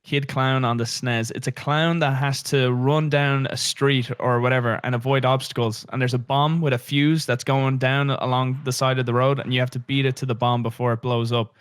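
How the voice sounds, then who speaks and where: A man with a Dublin Irish accent, a podcast guest telling lively stories in casual chat and swearing freely. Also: mid-range voice, normal speed, few pauses, clear, resonant tone, dry recording, studio quality. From Dublin, Ireland. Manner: animated storyteller, swears freely.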